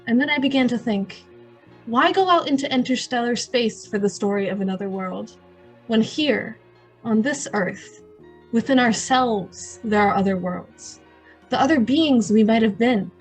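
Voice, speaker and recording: A woman announcer narrating an old-time radio drama in a solemn, deliberate way with dramatic pauses. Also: on the high side, slightly rough, rough recording. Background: music.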